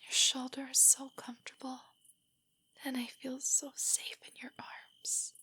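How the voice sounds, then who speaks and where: A woman playing a nervous, nerdy, affectionate young girlfriend in an intimate audio roleplay, speaking softly and breathily in a hesitant, earnest way. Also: high-pitched, monotone, a little slow, full character voice. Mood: shy, tender. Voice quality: soft, breathy, whispery. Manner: hesitant, rambling, earnestly explanatory.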